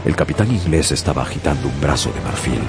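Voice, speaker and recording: A man narrating as a sailor in a scripted radio drama, in measured storytelling. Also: rumbling low, animated, vocal fry, over music, exaggerated voice. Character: young sailor narrating his voyage.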